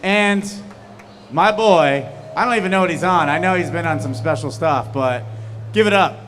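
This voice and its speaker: An excitable, hyped-up young man on a convention stage, talking into a handheld mic. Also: on the high side, moderate pace, big-room sound, soft background music. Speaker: reality TV guest.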